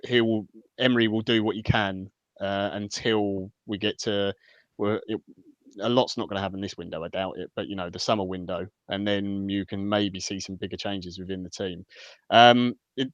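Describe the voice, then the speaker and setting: A lower-pitched man hosting a live football podcast chat. He rambles and jokes, circling back on himself as he goes. The sound is a little dull and lo-fi, with a dry, close feel. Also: a bit bright, dry recording. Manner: rambling and jokey, many restarts. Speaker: podcast host.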